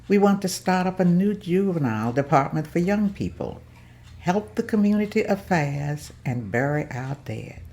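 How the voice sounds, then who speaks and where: A woman's voice: lowish voice, expressive, normal speed, warm tone. A woman reading the part of a polite, determined secretary of a church order at a script table read, in an earnest, formal manner.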